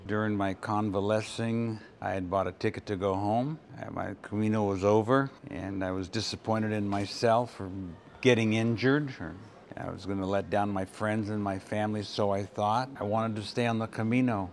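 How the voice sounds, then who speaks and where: A man in his seventies from California, calmly telling of an injury while seated indoors on a sofa, wearing a lapel mic. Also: on the low side, moderate pace, vocal fry, a little hiss or hum. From California, USA. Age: 70s. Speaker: pilgrim interviewee. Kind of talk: reflective storytelling.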